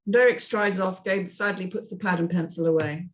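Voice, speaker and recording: A woman's voice: on the low side, moderate pace. A woman reading stage directions aloud in a scripted narration style.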